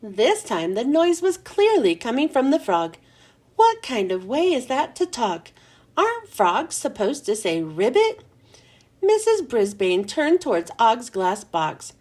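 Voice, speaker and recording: A woman reading a children's chapter book aloud in a lively, expressive storybook manner. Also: on the high side, a little slow.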